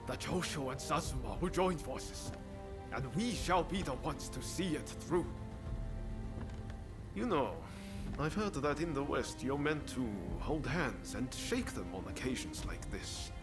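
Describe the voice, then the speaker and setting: An actor playing a bold, easygoing samurai idealist who talks informally and persuasively in a video game cutscene. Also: male voice, fairly high pitch, animated, a little slow. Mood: confident, encouraging. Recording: music behind the voice.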